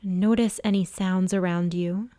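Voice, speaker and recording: Mellow, low-voiced woman guiding meditation. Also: steady tone, no room sound. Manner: soothing, hushed, unhurried instruction with long pauses. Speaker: yoga and meditation teacher. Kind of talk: guided body scan meditation.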